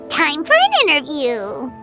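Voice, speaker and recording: Tiny bossy fairy companion for a video game: extremely high, squeaky, excitable, highly animated. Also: female voice, exaggerated voice. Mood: eager. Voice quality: squeaky, childlike. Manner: bubbly, self-important, refers to self in third person. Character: Paimon, small chatty childlike fairy companion, excitable and bossy. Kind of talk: video game character dialogue.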